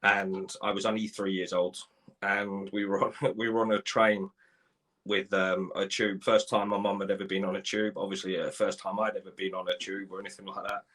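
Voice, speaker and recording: A man in his forties from Ireland, telling his life story in a live interview, halting, with many restarts and repeated words. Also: deep voice, level tone, a bit bright, poor audio. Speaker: guest.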